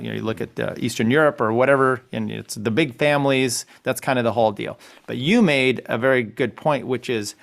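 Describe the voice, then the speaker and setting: A man of middle pitch with a gravelly edge speaks as a podcast guest over a remote line. He works as an attorney. He rambles and restarts his sentences often. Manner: rambling, halting, with many restarts. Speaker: guest, family law attorney. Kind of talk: casual podcast chat. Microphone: remote call.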